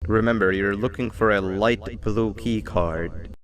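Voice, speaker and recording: A man playing a trapped stranger asking for help in an amateur game-based drama, halting and grateful. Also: fairly low pitch, natural voice. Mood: anxious, relieved. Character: trapped man pleading for help over security cameras.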